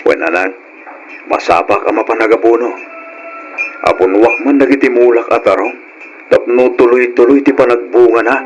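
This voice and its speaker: A hardworking grown farmer acted by a man in an old radio drama, respectful and easygoing. His Ilocano-accented voice is of middle pitch, with slight noise in the recording. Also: moderate pace, long pauses, highs cut off, lightly acted.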